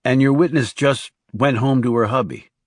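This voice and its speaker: Give me a man playing a terse, guarded male assassin from a thriller audiobook, medium-pitched and close. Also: moderate pace, dry recording, close to an everyday voice. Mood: businesslike, skeptical. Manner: terse, questioning. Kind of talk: audiobook character dialogue.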